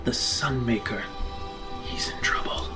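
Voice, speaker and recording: A man plays a grave and commanding figure in a tabletop space game. He speaks in short, solemn, urgent phrases, held rather low in volume. Music sits faintly behind him. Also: measured pace, whispered, natural voice. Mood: grave, hushed. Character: Gorn, grave commanding servant of a lost master.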